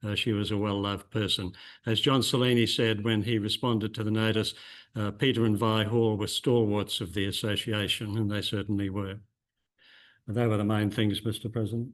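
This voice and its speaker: A man giving the general secretary's report at a club meeting. He speaks in a measured, formal way, with ums. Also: lowish voice, little pitch movement. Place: meeting room.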